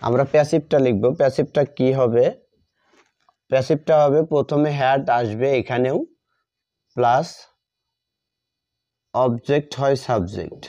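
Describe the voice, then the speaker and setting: Slow-talking man teaching, mellow voice. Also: mid-range voice. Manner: deliberate, repeats phrases, asks and answers own questions. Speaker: English grammar teacher. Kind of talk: step-by-step classroom lesson. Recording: dull lo-fi sound.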